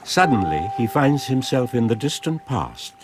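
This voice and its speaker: An actor narrating a literary radio drama as a solemn, absorbed storyteller with a measured, expressive delivery. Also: male voice, medium pitch, over music.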